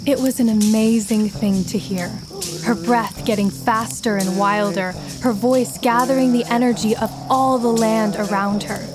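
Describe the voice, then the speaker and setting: Woman narrator reading a kids life story, highish voice, music behind it. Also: steady tone, unhurried. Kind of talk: scripted children's biography narration.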